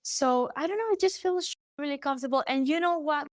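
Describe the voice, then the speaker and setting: Bubbly young Spanish-accented woman, high voice. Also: brisk, no echo, clean studio sound. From Galicia, Spain. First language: Galician. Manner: animated, laughs often, restarts her sentences. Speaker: guest singer. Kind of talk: casual interview chat.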